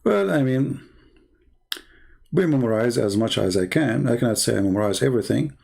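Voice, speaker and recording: A middle-aged man from the Middle East, a native Arabic speaker, lecturing on a live stream. His voice has a gravelly, creaky edge at a middling pitch. He hammers his points home with repetition and rhetorical questions. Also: animated, moderate pace, a little mellow.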